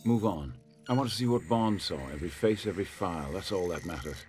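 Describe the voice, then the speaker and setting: A man's voice: sits low, lively intonation, fast talker, darkish tone. A man playing a terse, demanding figure who gives clipped commands in a video game cutscene. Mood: insistent, cold. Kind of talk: scripted game cutscene dialogue. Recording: music behind the voice.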